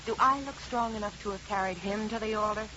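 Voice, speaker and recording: Woman playing world-weary hard-boiled dame, American, brusque, mid-pitched, vintage radio drama, hissy lo-fi sound. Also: fast, lightly acted. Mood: weary defensive. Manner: brusque, deadpan, slangy. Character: blunt, world-weary, slovenly young wife, tough dame. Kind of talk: 1950s radio detective drama acting. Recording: noisy, muffled old radio recording.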